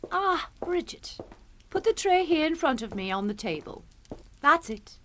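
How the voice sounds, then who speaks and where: An actress playing a proper middle-aged matron in a suspense drama, fussy and theatrically concerned. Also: female voice, high voice, very animated, unhurried, sharp tone, slight background noise, heavily acted. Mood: gushing, genteel. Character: proper, fussy English matron, mothering and controlling. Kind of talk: radio suspense drama acting.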